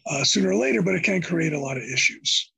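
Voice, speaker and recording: An older man talks as a podcast guest in a gravelly, rough-edged voice. His tone is somewhat high for a man and very husky. He explains his ideas in a meandering way and keeps circling back to restart a phrase. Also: lively intonation, fast, sharp tone, rough recording. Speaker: interview guest, psychology professor. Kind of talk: conversational podcast interview.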